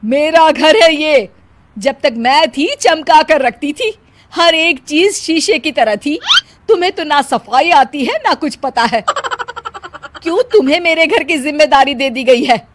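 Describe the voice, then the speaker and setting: Woman acting a shrill, nagging ghostly mother-in-law. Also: measured pace, slight background noise. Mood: scolding, bossy. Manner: scolding, bossy, taunting. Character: hot-tempered, nagging mother-in-law's ghost in a portrait. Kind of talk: Hindi cartoon story character acting.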